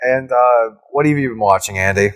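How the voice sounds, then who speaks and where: A man's voice: mid-range voice, normal speed, a bit bright. Joking, crude young man. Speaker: podcast co-host. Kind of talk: casual chat.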